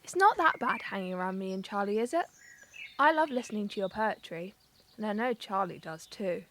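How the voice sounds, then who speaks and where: A woman playing a caring, sensible young English wartime worker in an audio drama, gentle and reassuring. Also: medium pitch, lively intonation, fairly quick, a little hiss or hum.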